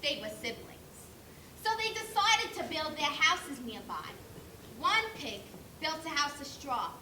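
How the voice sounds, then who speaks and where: A female amateur actor playing an excitable, accusing pig juror on stage, with emphatic, theatrical storytelling. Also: high-pitched, measured pace, full character voice. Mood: dramatic. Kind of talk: amateur school stage play. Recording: echoey room with clear noise.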